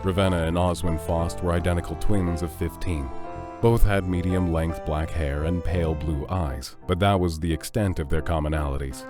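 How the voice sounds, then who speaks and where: A man's voice: low-pitched, steady tone, normal speed. A man reading scripted narration for an audio drama as its narrator. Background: music.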